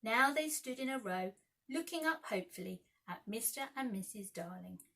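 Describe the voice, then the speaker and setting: A woman narrating a children's story expressively, with dramatic, measured phrasing. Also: fairly high pitch, animated, gravelly, pushed and bright, rough recording. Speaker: storyteller, school staff reader.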